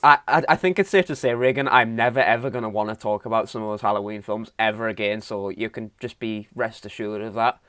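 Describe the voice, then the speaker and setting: A man's voice: lowish voice, fast talker, few pauses, fairly bright tone, clean tone. A man co-hosting a movie podcast, stuffy from a cold, sniffing and swearing casually. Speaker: podcast co-host. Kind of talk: casual chat.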